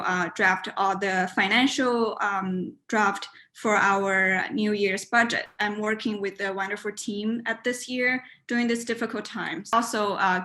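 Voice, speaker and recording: A woman's voice: medium pitch, pushed and bright. A woman in her twenties from China, Mandarin native, speaking English with an accent, answering interview questions over a video call with frequent fillers and restarts. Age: 20s. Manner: hesitant, many fillers and restarts. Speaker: student interviewee. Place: home room, indoors.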